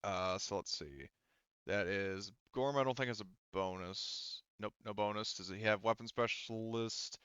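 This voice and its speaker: Clear, bright-voiced man running a tabletop game. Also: lowish voice, moderate pace, no echo, lo-fi sound. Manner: informal, profane, thinking aloud while working out rules. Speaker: game master. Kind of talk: casual tabletop roleplaying game narration.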